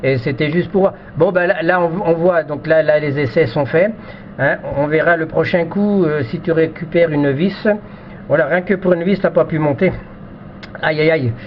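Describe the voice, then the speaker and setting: Higher-voiced man on dull radio audio. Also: normal speed. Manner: repetitive, hesitant phrasing. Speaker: amateur radio operator. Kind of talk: casual technical radio chat. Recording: muffled band-limited radio audio, faint noise.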